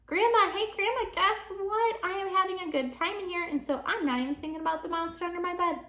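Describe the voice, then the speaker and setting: A woman voicing an excitable, stubborn and anxious little girl in a children's storybook reading. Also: very high voice, fast, reverberant, pitched above the performer's own voice, exaggerated voice. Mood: chirpy. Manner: shouty, insistent, childlike pleading. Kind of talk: children's storybook read-aloud.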